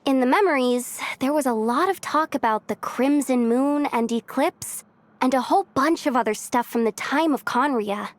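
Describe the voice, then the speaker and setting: Woman voicing a brisk, chatty informant. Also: sits high, lightly acted.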